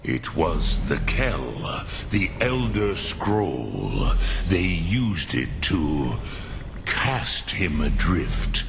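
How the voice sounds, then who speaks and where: An actor playing an ancient, wise old male dragon in a video game, patient and ponderous, musing between phrases in a gravelly voice. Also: deep voice, big pitch swings, background noise, heavily acted. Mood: solemn, reflective. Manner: slow, ponderous, deliberate, pausing to muse. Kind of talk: video game character dialogue. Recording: music under the voice. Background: game music.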